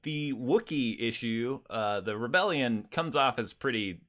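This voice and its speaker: A man's voice: mid-range voice, animated, unhurried, fairly bright tone. A man hosting a casual pop-culture podcast, talking in a rambling, hesitant way. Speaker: podcast host.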